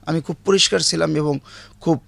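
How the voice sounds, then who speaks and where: A man's voice: a little high, steady tone, fairly quick, gravelly, a bit bright, no room sound. A man in his late fifties with a Bangladeshi accent, telling his life story as an interview guest in plain, colloquial, repetitive speech.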